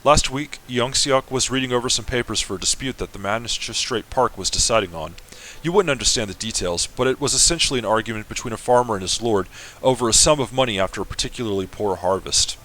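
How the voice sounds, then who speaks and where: A man's voice: mid-range voice, fast talker, few pauses, pushed and bright, slight background noise, lightly acted, rough recording. A man reading a letter from an earnest fifteen-year-old bride to her mother. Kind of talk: semi-dramatic reading of a game.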